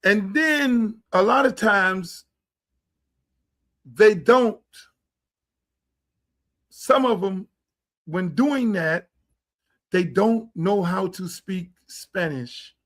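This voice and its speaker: A man hosting a livestream show. He rants in a blunt, profane, slang-heavy way and keeps slipping into quick impressions of other people. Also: sits high, fairly bright tone. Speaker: show host. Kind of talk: casual livestream rant. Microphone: streaming setup.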